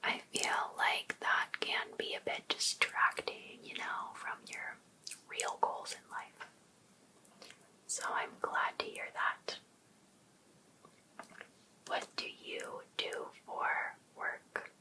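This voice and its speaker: A woman playing an overbearing, obsessive female life coach in an ASMR roleplay, softly asking attentive questions with sweet encouragement. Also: lightly acted, low-quality recording. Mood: attentive, encouraging. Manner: soft, unhurried, attentive questioning. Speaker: ASMR performer.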